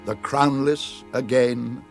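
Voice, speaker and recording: A man playing a grave, kindly wizard in a radio drama, speaking in a measured, declamatory way. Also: mid-range voice, fairly even intonation, gravelly, soft background music. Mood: solemn, incantatory. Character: grave, kindly wizard reading out his letter. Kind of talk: classic radio drama, verse recitation.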